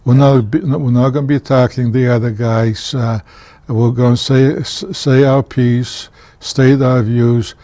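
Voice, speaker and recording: Older man from Poland, TV guest; measured, earnest, halting recollection; dry close sound. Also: mid-range voice, fairly even intonation, mellow, dry recording. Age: 70s. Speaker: guest author, former county commissioner. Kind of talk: recounting his life story in a TV interview.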